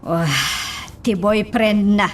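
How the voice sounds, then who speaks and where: A woman's voice: mid-range voice, fairly even intonation, takes it slowly, natural voice. A woman acting an indignant, protective mother in an Ilocano radio drama, emotional and full of sighs. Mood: explaining. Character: mother defending her daughter, indignant and protective. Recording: slightly distant mic, faint background noise.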